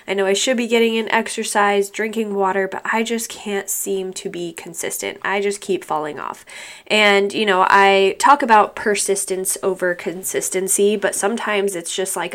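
A young woman hosts her own podcast through a stuffy, congested nose. She talks in a loose, rambling way, full of ums and soft laughs, and her pitch sits in the middle for a woman. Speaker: podcast host. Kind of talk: casual solo podcast talk.

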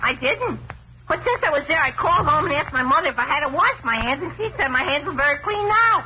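Very high-pitched, cheeky teenage boy. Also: expressive, fast. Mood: guileless. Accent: American. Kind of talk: 1940s radio comedy acting. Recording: muffled, very noisy 1940s radio broadcast.

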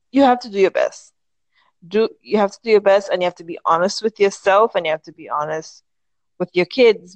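A woman in her thirties from the Caribbean, a podcast guest speaking in a thoughtful, measured way with frequent restarts. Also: mid-range voice, no room sound, lo-fi sound. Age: 30s. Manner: thoughtful, measured, many restarts while finding words. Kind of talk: casual interview chat.

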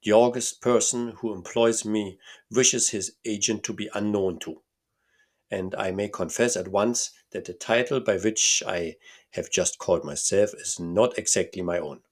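A man voicing a huge, masked male nobleman with a deep, harsh voice and a German accent, speaking formally and emphatically. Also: measured pace. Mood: imperious.

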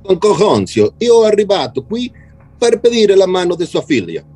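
An actor playing an earnest, pleading immigrant suitor with an Italian accent in a radio soap opera. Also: male voice, high voice, sing-song, moderate pace, mellow, soft background music.

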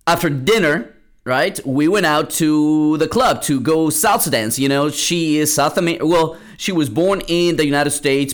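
A young man from Peru hosting a podcast, telling a casual story with lots of restarts and fillers. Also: fairly high pitch. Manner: rambling, many restarts and fillers. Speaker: podcast host.

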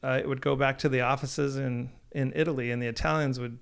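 A man's voice: medium pitch, steady tone, fast talker, gravelly, clear, resonant tone. A man in his thirties, a company founder, telling his story in a casual podcast chat, slightly nasal and rambling with frequent restarts. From Oregon.

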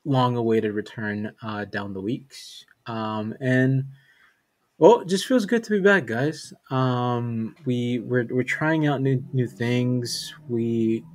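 A man hosting a casual sports podcast, talking in a relaxed way with lots of fillers and repeated words. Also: medium pitch, takes it slowly. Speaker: podcast host.

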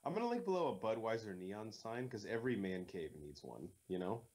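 A man's voice: fairly low pitch, big pitch swings, brisk, darkish tone. Guy doing a movie commentary, swears a ton, goofy bits, voice jumps all over. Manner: jokey, profane, acts out mock voices. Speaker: podcast co-host. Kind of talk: casual movie commentary chat.

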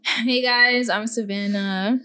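A young woman who speaks softly and quietly, chatting casually on a podcast. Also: on the high side, fairly even intonation, slow pace, dry recording. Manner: soft-spoken, quiet. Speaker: podcast co-host.

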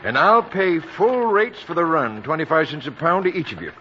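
An actor playing a jovial, courteous freight company president in old-time radio western style. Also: male voice, a little high, expressive, normal speed, bright, forceful tone. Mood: hearty interest. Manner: jovial, expansive. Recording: dull, noisy old radio recording.

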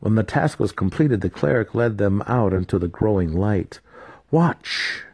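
A man's voice: fairly low pitch, animated, moderate pace, dark tone, breathy edge. A man reading fantasy fiction as a grave, dramatic scripted narration. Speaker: audiobook narrator.

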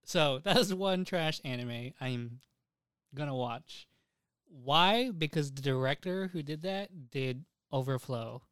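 A young man co-hosting a podcast, chatting casually and crudely about anime and games in an excitable, giggly, sweary way. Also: a little high, slow pace, clear, resonant tone, dry recording, clean studio sound. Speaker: podcast co-host.

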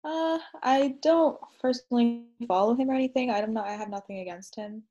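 A woman's voice: fairly high pitch, fairly quick, warm tone, dull audio, faint music behind. Young woman, from Pakistan, podcast co-host, casual storytelling, easy laughs. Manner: storytelling, laughs easily, heavy use of "like". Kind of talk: casual chat.

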